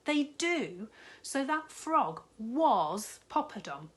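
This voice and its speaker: A woman's voice: sits high, very animated, extremely slow, fairly bright tone, voice pushed up, heavily acted. A woman voicing a sad, hungry young schoolgirl who speaks sheepishly and mumbles.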